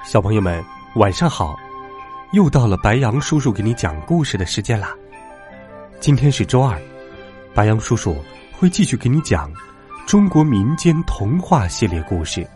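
A man narrating a bedtime story for children as host, in simple, expressive phrases. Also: lowish voice, normal speed. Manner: addresses young children in simple, animated phrases. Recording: music behind the voice. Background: background music.

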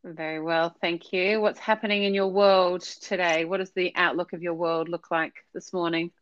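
Low-voiced woman hosting an interview. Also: fairly even intonation, normal speed, no room sound, muffled recording. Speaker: podcast host.

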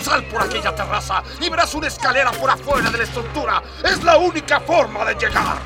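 An actor playing a commanding undercover colonel disguised as a maid in a radio serial. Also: male voice, very high voice, lively intonation, a little fast, recorded from a distance, music in the background. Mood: brisk, dismissive. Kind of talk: Spanish-language radio serial acting.

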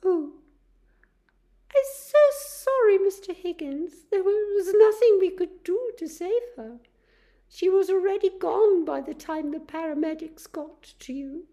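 A woman voicing a brisk, chirpy young nurse who fusses over a patient in a storybook reading. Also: extremely high pitch, warm tone, voice pushed up. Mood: apologetic.

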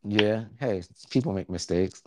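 A man's voice: lowish voice, measured pace, a little hiss or hum. A man co-hosting a podcast, talking in a casual, profane, slangy way and telling things bluntly and with animation. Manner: blunt, animated storytelling with slangy colloquial grammar. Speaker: podcast co-host reviewing a horror film.

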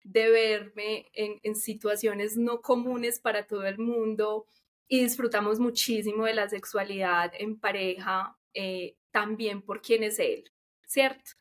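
A Colombian woman speaking candidly as an interview guest, colloquial and expressive, repeating words for emphasis. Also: medium pitch, measured pace. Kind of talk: casual, candid chat.

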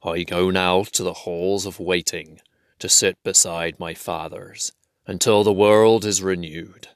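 A man doing a dying dwarf king, slow and low. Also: steady tone, smooth, no room sound. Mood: grave. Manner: slow, grave. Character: dying male dwarf king, proud and humbled. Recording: dry close recording.

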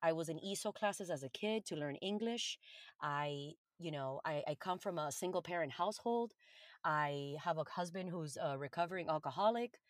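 Podcast guest: woman from Colombia, Spanish first language, lowish voice, dry close sound, earnest and emphatic. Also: moderate pace, dry recording. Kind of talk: podcast interview.

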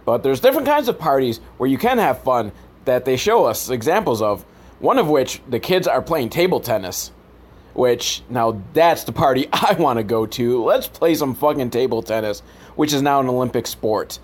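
A man delivers a comedic commentary in a casual, ranting style. His pitch moves around in a very lively way, and his manner is sarcastic and sweary, like a podcast host picking a film apart. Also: moderate pace. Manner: sarcastic, profane.

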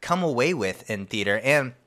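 A man's voice: medium pitch, lively intonation, moderate pace, sharp tone. A male co-host of an interview show, chatting thoughtfully about stage acting. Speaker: podcast co-host. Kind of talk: casual interview chat.

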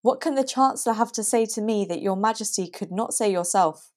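A woman's voice: mid-range voice, fairly quick, natural voice. A woman playing a proud, persecuted queen in a period audio drama, with formal, theatrical diction. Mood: anxious.

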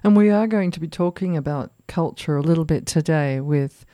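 A woman's voice: deep voice, moderate pace, dark tone, no room sound, studio quality. A woman hosting a radio interview, asking questions courteously. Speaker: radio host.

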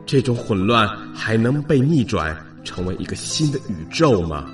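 A man's voice: lowish voice, sing-song, moderate pace, music in the background. A man playing the solemn collective soul of humanity in a science fiction audio drama, grave and pleading.

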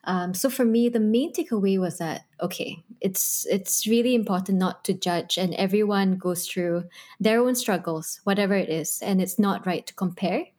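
A woman co-hosting a podcast, sharing her reflections in a thoughtful way with many pauses and restarts. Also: mid-range voice, a little mellow. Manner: thoughtful, with frequent ums and rephrasing. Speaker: podcast co-host. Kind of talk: reflective discussion.

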